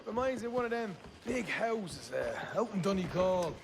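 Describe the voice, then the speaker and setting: An actor playing a chatty young Irish outlaw bluffing as a delivery driver in a video game, with glib, ingratiating patter. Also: male voice, sits high, very animated, moderate pace, creaky, noisy background, heavily acted. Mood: chummy, sly. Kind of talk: video game cutscene acting.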